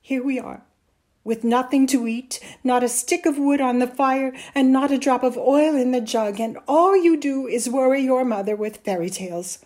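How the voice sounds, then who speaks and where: A woman's voice: sits high, normal speed, a little mellow, voice pushed up, lightly acted. A storyteller playing a stern, exasperated poor mother. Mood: exasperated, reproving. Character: weary, scolding, impatient poor widowed mother.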